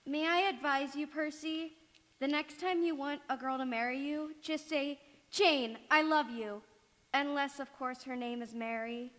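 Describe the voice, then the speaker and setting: A poised young lady of vintage radio drama, acted by a woman, with a high voice and a direct, lightly teasing delivery. She is heard in a hall with long reverb. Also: fairly even intonation, unhurried, fairly bright tone. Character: frank, poised young woman being courted. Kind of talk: 1940s radio drama acting on stage.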